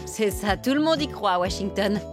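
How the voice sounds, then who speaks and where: A woman's voice: mid-range voice, lively intonation, normal speed, music in the background, natural voice. A woman voicing a haughty, scheming female house pet in a French cartoon, mocking and self-important. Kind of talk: French animated cartoon dub.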